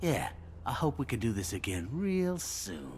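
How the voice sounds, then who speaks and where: A man's voice: wildly swooping pitch, a little slow, noisy background. An actor playing a shifty, defiant male criminal in a video game, sneering and sarcastic. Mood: grudging, wary. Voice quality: low, gravelly.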